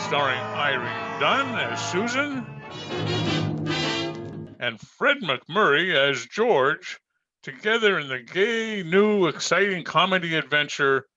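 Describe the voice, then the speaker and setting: A man announcing and narrating an old-time radio show from a script. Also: high voice. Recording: dull, narrow video-call audio.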